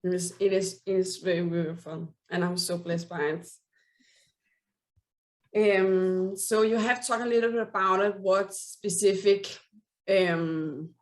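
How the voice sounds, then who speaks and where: A woman's voice: medium pitch, a little mellow. A woman from Denmark with a Danish accent, speaking English as a second language, hosting an interview and often searching for words. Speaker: interview host. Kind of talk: casual interview.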